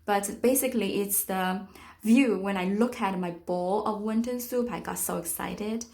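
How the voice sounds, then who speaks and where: A middle-aged woman from China with a Chinese accent, giving a reflective, casual tour of her art studio with frequent pauses and fillers. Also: medium pitch, a little mellow. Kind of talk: casual guided tour narration.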